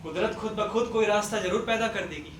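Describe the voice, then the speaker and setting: A kindly middle-aged father, acted by a man in a stage play. He speaks in a measured, forgiving and reassuring manner. The recording is echoey and distant, with faint noise behind. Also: high voice, fairly bright tone. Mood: concerned, hopeful. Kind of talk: Hindi stage play acting.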